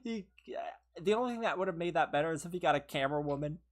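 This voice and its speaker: A woman This is a low, tired voice that is starting to wear thin. She streams a game from her own room and chats with viewers, laughing often. She teases them playfully, and her pitch jumps around a lot. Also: expressive, quick pace. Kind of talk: casual live gameplay commentary with chat.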